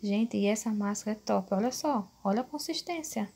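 A woman in her thirties gives a relaxed at-home hair tutorial. Her voice is dark and husky, with a gravelly edge at the ends of phrases. She keeps turning to her viewers and checking that they follow. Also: mid-range voice, normal speed. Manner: chatty, addresses viewers as "gente", many fillers like "né". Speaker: beauty vlogger. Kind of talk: casual hair-care tutorial. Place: small bedroom at home, indoors.

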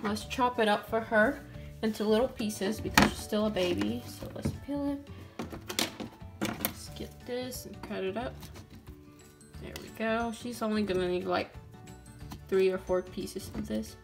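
A woman's voice: mid-range voice, a little slow, long pauses. A young woman casually narrating doll-play cooking in a sweet, chatty way, speaking to her viewers and a doll. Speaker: doll channel host. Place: toy kitchen indoors. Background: background music.

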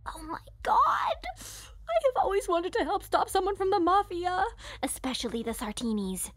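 A woman's voice: very high voice, sing-song, moderate pace, dry recording. A woman playing a perky, confident mob princess in her early twenties, girlish and eager, in an audio drama. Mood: excited, confident.

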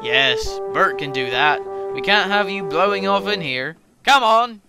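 A no-nonsense storybook engine driver, played by a man, practical and firm. The delivery is matter-of-fact but lively in pitch, with music behind it. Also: a little slow.

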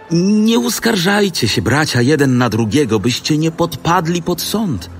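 A man giving a dramatic, exhorting reading of a scripture letter for an audio production. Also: medium pitch.

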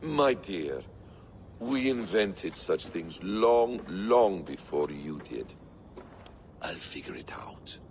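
Game villain: an unhurried, silky ancient schemer, very deep and gravelly, pitch swinging widely. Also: male voice, lively intonation, noisy background, heavily acted. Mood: composed. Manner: measured, silky, quietly menacing. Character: calm scheming ancient hooded man. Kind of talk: video game cutscene acting.

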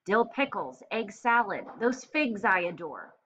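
Bright-voiced, demanding little girl, read by a woman. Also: medium pitch, very animated, a little slow, highs cut off, a little hiss or hum. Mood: demanding, precise. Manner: eager, precise, bossy but polite. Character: tiny, demanding, chirpy little girl ordering food. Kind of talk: children's storybook read-aloud.

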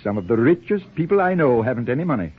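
A narrow, tinny vintage radio recording features an urbane middle-aged father. He is droll and philosophical, turning florid phrases with wide melodic swings in a slightly rough, husky voice. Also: medium pitch, sing-song, quick pace. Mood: breezy. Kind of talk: 1940s radio acting. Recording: tinny, band-limited 1940s radio recording.

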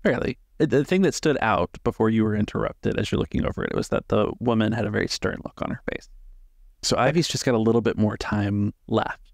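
A man working as game master, improvising narration for a tabletop roleplay with halting, measured phrasing. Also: on the low side, creaky, no room sound, studio quality. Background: music.

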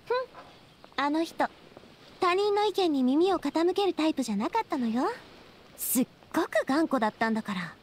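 High-voiced, spirited young lady boss. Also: lively intonation, normal speed, a little hiss or hum. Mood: puzzled, candid. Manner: feminine, candid, reflective. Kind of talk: anime video game dialogue. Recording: studio voice with music behind.

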